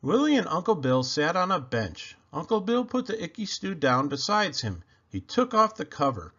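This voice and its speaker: A man reading a children's book aloud with expressive, emphatic delivery. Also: a little high, moderate pace, dull audio. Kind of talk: animated storybook read-aloud narration.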